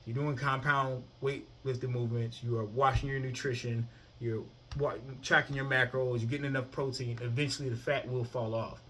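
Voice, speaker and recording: A man's voice: mid-range voice, flat delivery, moderate pace, a bit bright, a little hiss or hum, low-quality recording. A man in his thirties talking casually to the camera about his own fitness routine, often asking "you know what I mean?". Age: 30s. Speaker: fitness vlogger.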